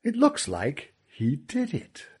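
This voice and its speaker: A man playing a smug, oily storybook villain with a pitched-up, sneering voice that drips every word out slowly. His delivery lilts mockingly up and down, and the voice has a husky edge. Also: huge pitch swings, darkish tone, exaggerated voice. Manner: slow, dripping, sneering delivery.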